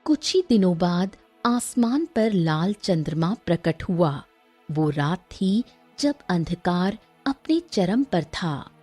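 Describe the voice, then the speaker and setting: A woman narrator giving dramatic scripted narration for a cartoon story, in a husky, dark voice. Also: lowish voice, big pitch swings, slow pace, faint music behind.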